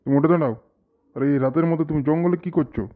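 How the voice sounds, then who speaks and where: A man's voice: on the high side, rapid-fire, lightly acted. Man as a tinny, bossy cartoon village fellow. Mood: puzzled, bossy. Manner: colloquial, commanding, repeats short orders. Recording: thin phone-like sound with faint noise.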